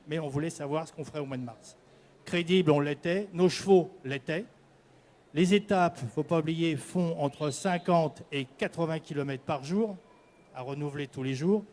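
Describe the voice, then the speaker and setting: An older man from the Paris region giving a semi-prepared presentation to an audience, halting at times and correcting himself. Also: a little high, a little mellow. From Paris region, France. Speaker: candidate presenting at a prize event.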